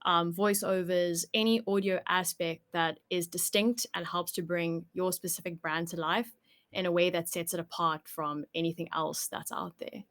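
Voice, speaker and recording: A woman's voice: lowish voice, fairly even intonation, normal speed, a bit bright. A woman working as a podcast producer, answering interview questions in a thoughtful, explanatory way.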